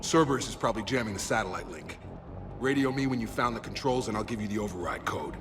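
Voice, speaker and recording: An actor playing a loyal, stubborn soldier in a video game cutscene, plain-spoken and clipped. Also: male voice, on the low side, quick pace, noisy background, lightly acted. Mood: stubborn, urgent. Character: loyal, stubborn male soldier, injured but determined.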